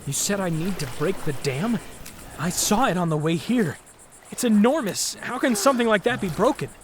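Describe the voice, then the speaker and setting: A man's voice: high-pitched, expressive, normal speed, slight background noise. A man playing an earnest young traveling hero in a fantasy audio drama, inquisitive and plain-spoken. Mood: puzzled.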